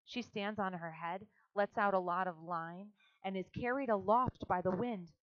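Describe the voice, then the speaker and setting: A woman's voice: medium pitch, normal speed, lo-fi sound, lightly acted. A woman voicing an earnest, imaginative young farm girl who talks eagerly and insistently.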